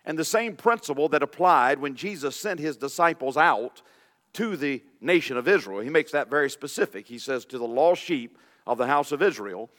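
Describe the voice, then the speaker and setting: Hoarse deep-voiced pastor in a reverberant church. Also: male voice, animated, normal speed, fairly bright tone. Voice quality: deep, raspy voice. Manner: reads scripture aloud, informal asides. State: hoarse from illness. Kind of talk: sermon. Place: church sanctuary. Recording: long reverb of a church hall.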